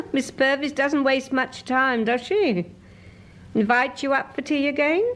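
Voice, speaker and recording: An actress playing a middle-aged, sharp and jealous nagging wife in a radio drama, querulous and scornful, with a British accent. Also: female voice, sits high, measured pace.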